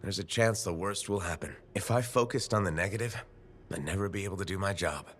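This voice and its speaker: Calm steady hero, reassuring, level, lowish voice. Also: male voice, fairly quick, slight background noise. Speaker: player character. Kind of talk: video game dialogue.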